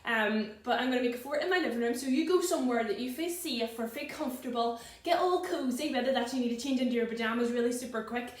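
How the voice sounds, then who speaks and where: A woman chatting casually and gently to children as a storyteller. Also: fairly high pitch, quick pace, hardly any pauses, echoey room. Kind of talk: casual chat to children, reading a picture book aloud.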